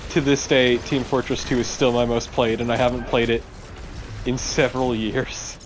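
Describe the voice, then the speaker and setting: A man's voice: mid-range voice, level tone, moderate pace, noisy background. A man co-commentating on a video game in casual, informal chat with a sweary streak.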